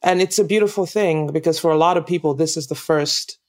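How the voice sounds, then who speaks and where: A Nigerian-accented woman from Nigeria, a guest actress chatting reflectively in an interview, laughing easily and pausing with ums. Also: low voice, a little mellow. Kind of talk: casual interview chat.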